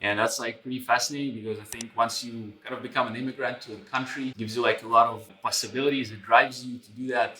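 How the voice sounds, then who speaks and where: A man's voice: medium pitch, steady tone, sharp tone, echoey room, recorded from a distance, poor audio. A man whose first language is Russian, hosting an interview and talking eagerly, calling his guest "man" again and again. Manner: fast, enthusiastic, fills speech with "man". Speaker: host. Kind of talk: casual interview.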